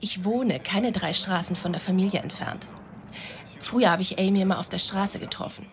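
A vengeful, gossiping shop woman , played by an actress in a radio drama. Her voice is medium in pitch and chatty, with an indignant edge. Faint ambient noise sits behind it. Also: moderate pace, natural voice. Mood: indignant, gossipy. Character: gossipy, vengeful female small-town shop clerk.

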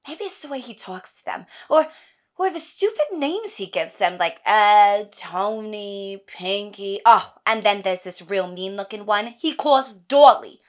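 Woman acting an exasperated wife, swinging hushed to loud. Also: medium pitch, lively intonation, unhurried, close to an everyday voice. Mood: exasperated, sarcastic. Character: exasperated wife venting to her doctor. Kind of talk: theatrical audition monologue.

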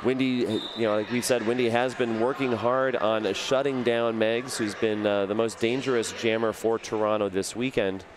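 A man's voice: on the low side, fairly even intonation, normal speed, few pauses, background noise. A man commentating a live roller derby game, explaining tactics and reading promos and notes. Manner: analytical, reads promos and notes. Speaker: sports commentator.

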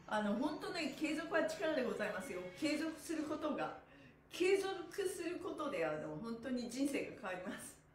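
A woman's voice: sits high, sing-song, normal speed, slight background noise. A woman instructor hosting a live stretching lesson, encouraging and playful, with rhythmic chanted phrases. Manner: cheerful, encouraging, playful, often laughing. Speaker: stretch class instructor and host. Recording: echoey room sound.

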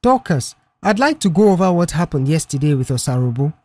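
Audiobook role: reserved young male mechanic, measured and matter-of-fact, husky, read by a narrator. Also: medium pitch, big pitch swings, darkish tone, no echo. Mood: serious, probing.

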